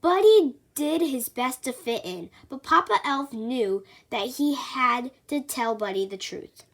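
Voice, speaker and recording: High, animated woman reading a storybook. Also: measured pace. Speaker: storybook reader. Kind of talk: children's read-aloud narration.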